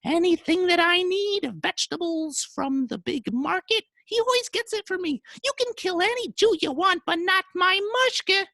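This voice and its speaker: Bossy, doting rich wife: very high voice, animated swoops, wheedling. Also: male voice, creaky, dry recording, studio quality, full character voice. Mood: fond, insistent. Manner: imperious, drawn-out wheedling. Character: landowner's bossy, indulgent high-pitched wife.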